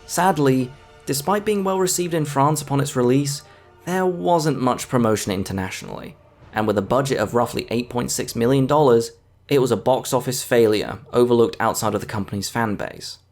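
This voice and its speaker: A man's voice: medium pitch, moderate pace, a little mellow. A man giving scripted video essay narration as a film reviewer, with an enthusiastic, emphatic delivery. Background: background music.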